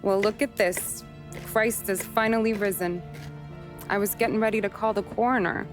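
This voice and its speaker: Woman playing sharp-tongued American mobster's wife. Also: mid-range voice, fairly even intonation, normal speed, close to an everyday voice. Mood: wry, teasing. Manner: sarcastic, wry, slangy. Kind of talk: cinematic video game acting. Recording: music behind the voice.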